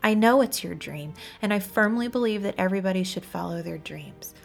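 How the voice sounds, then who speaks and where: A woman voicing a guilty, hesitant young woman in a story, halting and pleading as she speaks to her fiancé. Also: medium pitch, creaky, soft background music. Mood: earnest, pleading. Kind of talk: dramatic audiobook character voice.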